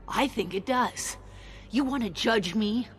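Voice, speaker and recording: A woman's voice: on the high side, unhurried, slight background noise, close to an everyday voice. An actress playing a ruthless, defiant female rogue agent in a video game, speaking in a taunting, biting and self-righteous way. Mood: bitter, defiant. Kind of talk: dramatic video game acting. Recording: music under the voice.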